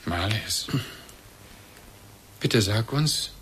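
An actor playing a stern, suspicious bereaved husband in a German radio drama, insistent and clipped, in standard German. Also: male voice, fairly low pitch, expressive, extremely slow, fairly bright tone, slight background noise, heavily acted.

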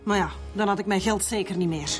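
A woman's voice: medium pitch, quick pace, faint music behind, close to an everyday voice. An actress playing a bold, sarcastic female suspect with a Flemish accent in a radio police drama.

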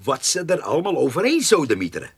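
An actor playing a cheeky, proud farmhand inventor in a radio play, colloquial with mock-grand salesmanship. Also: male voice, on the high side, huge pitch swings, fast talker, husky, heavily acted. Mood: eager. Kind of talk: comic radio play dialogue.

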